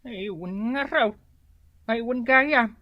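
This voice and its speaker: An actress in a radio drama playing a complaining neighbor woman with an Ilocano accent who calls out loudly. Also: a little high, extremely slow, no echo, highs cut off.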